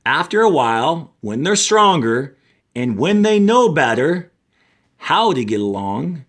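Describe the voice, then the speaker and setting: A practical townswoman from a storybook, put on by a male reader. She is decisive and earnest, setting out her points with emphasis. The voice sits a little high and moves slowly, with lively rises. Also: full character voice. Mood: firm, practical.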